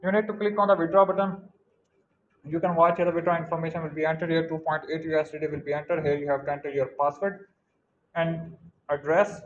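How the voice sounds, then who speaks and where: Fast, flat-toned man narrating a tutorial. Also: on the high side, dull audio, a little hiss or hum. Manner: repetitive, formulaic walkthrough phrasing. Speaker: channel host. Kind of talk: screen-recording tutorial voice-over.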